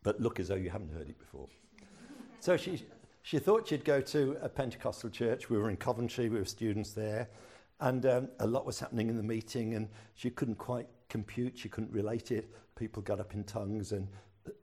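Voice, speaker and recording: Older man preaching, low mellow voice. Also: fairly quick. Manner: conversational storytelling with self-deprecating humor. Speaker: pastor. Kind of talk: sermon.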